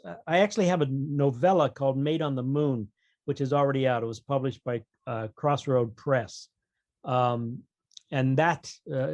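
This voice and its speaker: A man's voice: medium pitch, darkish tone, no room sound. A man talking casually as an author guest on a podcast, explaining his opinions with wry humour.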